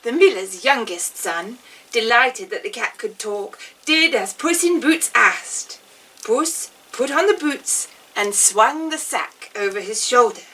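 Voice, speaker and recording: A woman narrates a fairy tale in a theatrical storyteller's voice, highly animated and unhurried. Her tone is bright, and she sounds a little away from the microphone. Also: far from the mic, rough recording. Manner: theatrical, measured. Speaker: storyteller playing an amusing witch.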